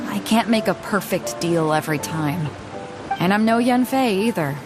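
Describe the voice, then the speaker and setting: A woman's voice: medium pitch, lively intonation, normal speed, natural voice. An actress voicing a confident, laid-back female ship captain in a game cutscene, laughing easily. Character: bold, hearty female ship captain, easygoing. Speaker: game character. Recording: background game music under the voice.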